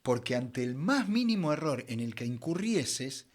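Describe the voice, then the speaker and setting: Animated middle-aged Buenos Aires man. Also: mid-range voice, a little slow. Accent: Argentine, Buenos Aires. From Argentina. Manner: expressive storyteller, acts out quoted dialogue. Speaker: interview guest, veteran voice actor. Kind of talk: conversational anecdote telling.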